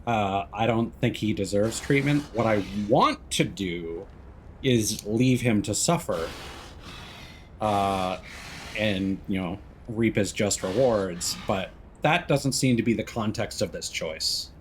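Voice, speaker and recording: A man's voice: on the low side, takes it slowly, slight background noise. A man giving casual live-stream game commentary, musing and thinking aloud as he plays.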